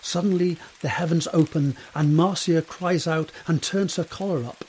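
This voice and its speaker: A man's voice: a little high, steady tone, moderate pace, darkish tone, a little hiss or hum, rough recording. A man narrating an audio drama from a script, describing the scene in the present tense.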